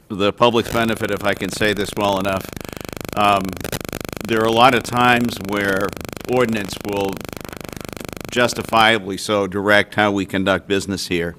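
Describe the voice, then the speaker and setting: An older man serving as a town councilor, explaining a decision in a measured way at a council meeting, speaking into a desk microphone. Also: lowish voice, fairly bright tone. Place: council chamber.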